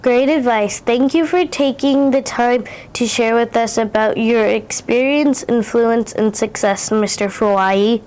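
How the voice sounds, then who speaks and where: A woman's voice: on the high side, a little slow, few pauses, a little mellow. A fourth-grade girl hosting an interview, reading her prepared introduction and questions carefully. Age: child, fourth grader. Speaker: student interviewer.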